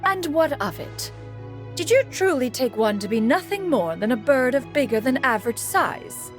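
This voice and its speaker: Casting: grand, aloof female immortal, lively lofty delivery, formal speech, faint game music. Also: high-pitched, normal speed. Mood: haughty. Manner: formal, lofty, speaks of herself as "one". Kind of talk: video game voice acting.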